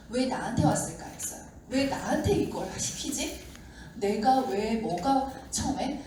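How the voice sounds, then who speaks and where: A woman giving a seminar talk to an audience, slightly hoarse from a sore throat, chatty and acting out the doubts of skeptics. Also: fairly high pitch, mellow. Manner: chatty, acts out doubters' lines. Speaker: seminar speaker. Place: lecture room with audience. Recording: echoey hall mic from far off with noise.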